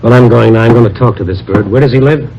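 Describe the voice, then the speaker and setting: An actor playing a dry, casual, level-headed investigator in old-time radio drama. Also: male voice, mid-range voice, fast talker, dull audio, a little hiss or hum, close to an everyday voice. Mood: calm, concerned. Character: wry, level-headed male investigator helping a troubled man. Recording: old radio recording with music.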